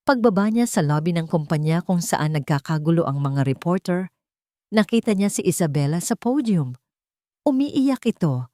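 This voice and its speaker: A woman narrates a romantic drama in a measured, dramatic way. Her voice sits on the low side for a woman, and it sounds dry and close, with no room echo. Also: dark tone. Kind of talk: scripted audiobook narration.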